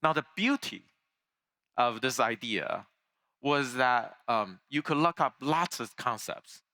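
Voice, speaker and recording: Male keynote speaker from Taiwan, bright forceful tone, chatty delivery with "uh"s and restarts. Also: mid-range voice, animated, slow. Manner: conversational, with fillers and restarts. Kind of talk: conference keynote talk. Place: large conference hall.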